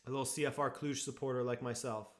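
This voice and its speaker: A man's voice: mid-range voice, fairly even intonation, fast. A man hosting a live football watchalong stream, chatting casually with viewers and reacting to the match. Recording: echoey room.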